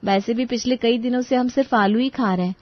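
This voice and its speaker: A woman playing a polite but exasperated young daughter-in-law in a dramatized story, expressive in the way she speaks. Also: on the high side, brisk, no room sound, muffled recording, close to an everyday voice. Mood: polite, cheerful. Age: young adult.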